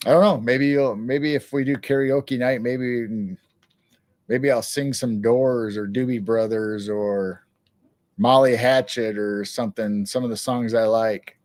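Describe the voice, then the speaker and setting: A man's voice: mid-range voice, fairly even intonation, a little slow, darkish tone, dry recording. Rambling man hosting a live chat. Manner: rambling, many restarts. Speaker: co-host. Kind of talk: casual live-stream chat.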